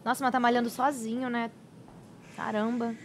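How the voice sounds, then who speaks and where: A woman's voice: on the high side, flat delivery, normal speed, background noise. A woman hosting a casual chat, reacting playfully to a live feed. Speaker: host.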